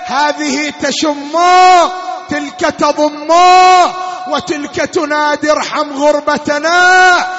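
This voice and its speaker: A man preaches a lamentation in a bright, forceful wail, half sung and full of anguish. He holds a narrow, insistent line and stretches his words slowly. The sound is dull and lo-fi. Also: extremely high pitch. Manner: anguished, wailing, drawn-out delivery. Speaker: religious mourning reciter and preacher. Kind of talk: Shia lamentation sermon, half-chanted. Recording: long hall reverb, dull lo-fi sound, music-like backing.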